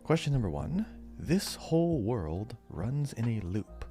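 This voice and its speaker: A man hosting a casual quiz podcast, reading out questions deliberately and repeating them. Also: mid-range voice, sing-song, warm tone, faint music behind.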